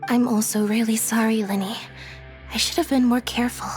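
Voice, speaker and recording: Woman playing a composed young woman, fairly high voice, reserved, caring, measured, game dub, soft music. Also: steady tone, lightly acted. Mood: reassuring. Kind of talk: English game dub acting.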